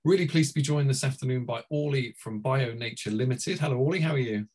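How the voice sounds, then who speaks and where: A man hosting an informal interview, asking softened, wordy questions in a tentative manner. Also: medium pitch, fast talker, slightly rough. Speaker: host, interviewer.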